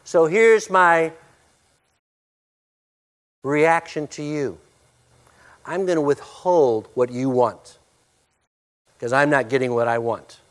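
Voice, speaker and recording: A male pastor preaching a sermon and praying, with a loud, forceful voice, emphatic when preaching and hushed in prayer. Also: on the high side, takes it slowly, pauses often.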